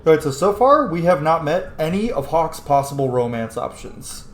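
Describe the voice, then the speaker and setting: A man's voice: a little high, big pitch swings, normal speed. A man giving casual let's play commentary on a video game, chatty and sweary with frequent asides. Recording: echoey room with faint background noise.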